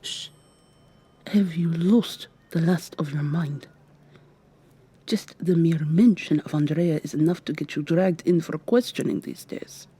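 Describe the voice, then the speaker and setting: A woman's voice: mid-range voice, expressive, unhurried, long pauses, slightly rough. A woman playing a weary, sardonic vampire receptionist with a secret, acting in a scripted ASMR roleplay. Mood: hushed, alarmed. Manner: polite customer-service patter, hushed urgent asides.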